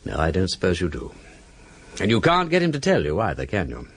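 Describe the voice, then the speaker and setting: A man playing a mysterious, teasing gentleman sleuth in a period radio drama, arch and sardonic, with an upper-class English accent and a husky, breathy voice. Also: low voice, wildly swooping pitch, a little fast, exaggerated voice. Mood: cool, sardonic.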